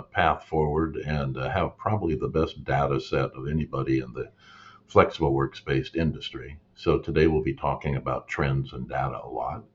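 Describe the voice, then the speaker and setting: A man's voice: extremely low pitch, muffled recording, a little hiss or hum. A man hosting a podcast interview, asking long rambling questions with fillers. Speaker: podcast host.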